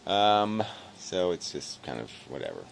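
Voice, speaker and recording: A man rambling casually in a weary, low-energy, self-deprecating way, halting and swearing as he talks to camera. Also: sing-song, gravelly, slight background noise. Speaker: video blogger.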